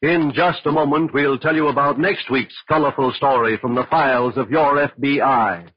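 A man's voice: medium pitch, moderate pace. A man with an American accent reading scripted 1940s radio announcements in a polished, formal, persuasive way. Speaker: radio announcer and sponsor pitchman. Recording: dull, lo-fi old radio broadcast, music.

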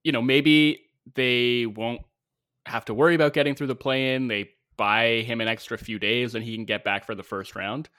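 Bright-voiced, opinionated male co-host. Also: medium pitch, steady tone, normal speed, clean tone. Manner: opinionated, teasing, emphatic. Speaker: podcast co-host. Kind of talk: casual sports podcast chat.

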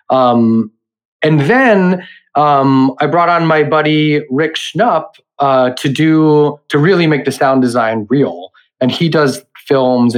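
A man of medium pitch talks as an interview guest. He explains his creative work informally, piecing his thoughts together conversationally as he goes. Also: poor audio. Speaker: director and audio editor, interview guest.

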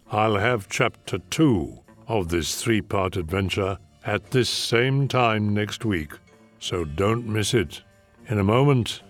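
Slow, formal radio host man. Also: lowish voice. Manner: measured, formal. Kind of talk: radio show host outro.

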